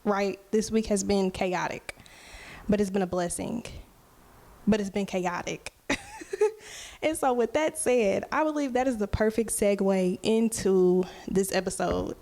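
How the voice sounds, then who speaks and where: A woman's voice: mid-range voice, warm tone. A woman in her twenties hosting a solo podcast, talking casually and openly to her listeners, often checking in with "right?". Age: 20s. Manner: slow, unhurried, conversational, often asking "right?". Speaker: podcast host and musician.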